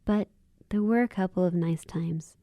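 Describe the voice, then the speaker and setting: A woman's voice: lowish voice, moderate pace, dry recording, muffled recording, natural voice. A woman playing a kind, earnest and plain-spoken young woman in a radio drama. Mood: wistful. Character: kind, candid young woman with a wistful side.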